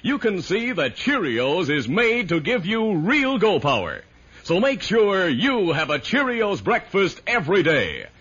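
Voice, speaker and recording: Very animated, husky male pitchman. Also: measured pace. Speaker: commercial announcer. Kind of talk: 1950s radio advertising pitch. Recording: muffled old radio recording.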